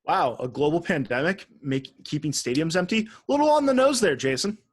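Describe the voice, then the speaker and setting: A man with a somewhat high-pitched voice talks as a podcast co-host. He is casual and teasing and swears freely. The recording is dry and free of room sound. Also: expressive, moderate pace, no room sound.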